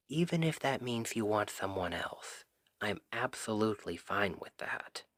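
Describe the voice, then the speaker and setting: A man acting a shy, earnest best friend in an intimate ASMR roleplay, speaking softly and haltingly. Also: lowish voice, dry recording, studio quality. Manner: hesitant, halting, soft-spoken. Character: shy, earnest male Cupid best friend confessing love.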